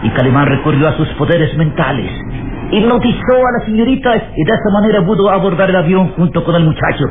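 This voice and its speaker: Radio serial role, male actor: scheming male accuser, highish voice, insistent and dramatic, tinny hissy recording. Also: expressive, fast talker, natural voice. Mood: urgent accusation. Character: scheming, alarmist male accuser aboard a plane. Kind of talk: old radio serial acting.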